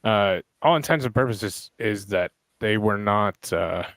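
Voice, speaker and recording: Hoarse man chatting, dry close mic. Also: on the low side, lively intonation, measured pace, a bit bright, no echo. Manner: rambling, searching for names. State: hoarse, lost voice. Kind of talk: casual online chat.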